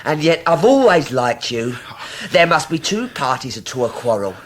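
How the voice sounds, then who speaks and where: An actor playing an oily, falsely humble scheming young clerk in a period radio drama, with a wheedling, sanctimonious manner. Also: male voice, a little high, big pitch swings, normal speed. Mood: sanctimonious, forgiving.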